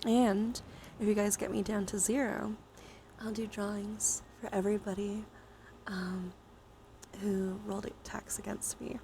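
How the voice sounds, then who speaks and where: A woman hosting a casual ASMR-style live stream game, soft-spoken with half-whispered phrase endings and a casually sweary way of talking. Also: medium pitch, level tone, a little slow, a little hiss or hum.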